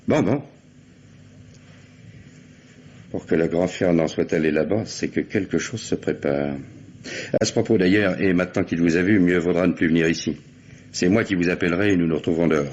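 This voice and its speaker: An actor playing a shrewd, authoritative police boss with a measured, dryly ironic manner in a radio crime drama. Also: male voice, deep voice, darkish tone, breathy edge. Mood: probing, guarded. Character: shrewd, commanding police boss plotting a nighttime sting. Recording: dull vintage radio recording.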